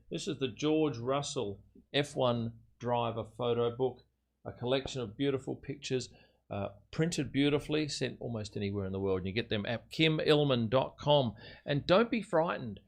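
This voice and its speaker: A man's voice: medium pitch, normal speed, gravelly. An older Australian man hosting a casual livestream, chatting and commenting on a race in a matey, friendly way. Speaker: livestream host.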